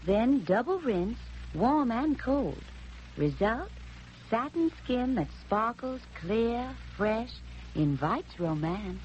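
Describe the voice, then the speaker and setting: Slow, lilting dreamy sweetheart. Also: female voice, fairly high pitch, big pitch swings, darkish tone. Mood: dreamy, enticing. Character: dreamy young sweetheart extolling beauty soap. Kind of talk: 1940s radio commercial acting. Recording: dull, noisy 1940s radio recording.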